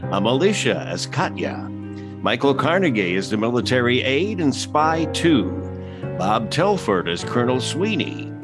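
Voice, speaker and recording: A man's voice: medium pitch, very animated, unhurried. A man reading scripted credits as the announcer. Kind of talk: scripted narration. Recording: music behind the voice.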